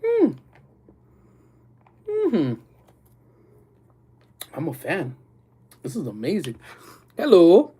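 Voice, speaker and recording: A man's voice: high-pitched, huge pitch swings, very slow, long pauses, mellow, slightly rough. Congested, rambling male streamer. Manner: rambling, loose talk with many fillers and frequent laughs. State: a little congested and nasal. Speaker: livestream host. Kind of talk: casual livestream chat with viewers.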